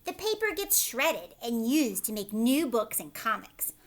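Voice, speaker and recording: A woman's voice: a little high, big pitch swings, a little slow. A woman voicing a patient male schoolteacher in a children's storybook, explaining emphatically and prompting with questions. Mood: instructive, encouraging.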